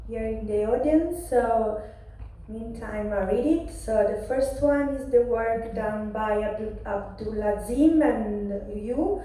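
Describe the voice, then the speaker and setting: A woman with a strong Italian accent, announcing paper award finalists and winners at a conference, halting over names and apologizing for her pronunciation. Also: a little high, dark tone. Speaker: award presenter. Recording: echoey hall, distant mic.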